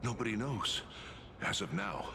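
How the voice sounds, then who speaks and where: Weary ancient-kingdom officer played by a man, low male voice, formal and hesitant; game dialogue over noticeable background noise. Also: unhurried, close to an everyday voice. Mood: grave, weary. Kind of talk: video game story dialogue.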